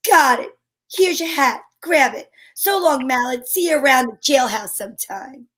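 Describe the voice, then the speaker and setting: Actress as female gumshoe, high-pitched, sassy and wry, old-time radio style. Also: normal speed, a bit bright. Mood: brash, dismissive. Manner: brash, slangy, wry. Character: sassy, wisecracking female private eye.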